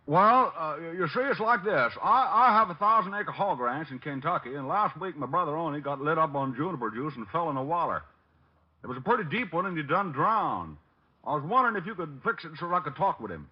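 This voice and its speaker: Naive folksy southern hillbilly farmer, drawly, voice bouncing up high, old muffled radio comedy. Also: male voice, animated, fairly quick, slightly rough. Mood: bumbling, folksy. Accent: Southern US hillbilly, put on. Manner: drawling, rustic, bumbling. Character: folksy, naive, cheerful male Kentucky hog farmer. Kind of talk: 1940s radio comedy acting.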